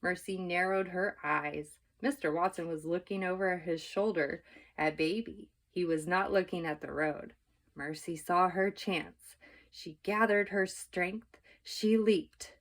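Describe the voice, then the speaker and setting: A woman storyteller reading a children's book aloud in an expressive, measured, emphatic narrating style. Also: on the low side.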